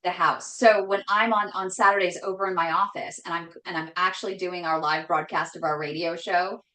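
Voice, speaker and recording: A woman hosting a casual live cooking show from her home kitchen, talking loudly and emphatically with a voice that carries. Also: lowish voice, fairly even intonation, fairly quick, roomy sound, low-quality recording. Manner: loud voice that carries, chatty and emphatic. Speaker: host cooking on a live stream.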